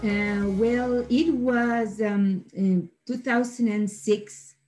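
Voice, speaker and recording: A woman from Ecuador, telling her story in an interview in a halting, self-correcting way. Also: on the high side, steady tone, a little mellow, soft background music. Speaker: guest, financial education instructor.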